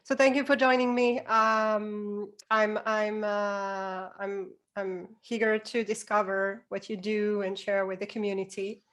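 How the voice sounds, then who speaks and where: A woman's voice: mid-range voice, flat delivery, unhurried, hardly any pauses, fairly bright tone. Effusive woman hosting a podcast interview. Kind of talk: casual conversational interviewing.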